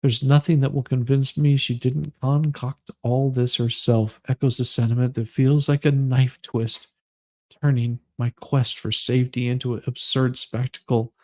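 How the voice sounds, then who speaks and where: A man hosting a livestream, moving between casual chat and mock-dramatic readings with theatrical, drawn-out emphasis. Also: mid-range voice, level tone, a bit bright, dry recording. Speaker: livestream host.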